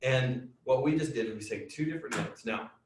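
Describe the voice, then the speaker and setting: A man, a music teacher, explaining science to children in a chatty, excitable way with many fillers. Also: medium pitch, brisk, a little hiss or hum. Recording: echoey room, distant mic.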